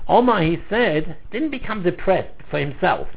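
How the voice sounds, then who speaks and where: A man gives a Talmud lesson in a high voice that creaks and swings widely in pitch. He reads a phrase, translates it and explains it, often repeating himself, on a slightly dull recording. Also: normal speed. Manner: animated explaining, repeats phrases and stumbles over words. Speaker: Talmud teacher. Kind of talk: line-by-line religious lesson, reading and translating.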